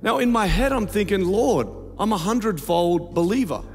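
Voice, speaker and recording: A man's voice: high-pitched, expressive. A man in his thirties with an Australian accent, speaking to a large church from the stage with a handheld mic, telling a story and then praying over the offering. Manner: storytelling, then slow prayer. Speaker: pastor giving offering message. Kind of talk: church offering talk and prayer. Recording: reverberant arena with music.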